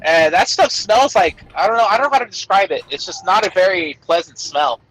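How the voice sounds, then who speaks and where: A man with a shrill voice, swearing freely in crude casual banter, calling in on a Bluetooth headset from his truck. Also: lively intonation, a bit bright. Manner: crude, swears constantly, quick laughs. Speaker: podcast co-host calling in. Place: truck cab while working. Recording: tinny headset line from a truck. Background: truck noise.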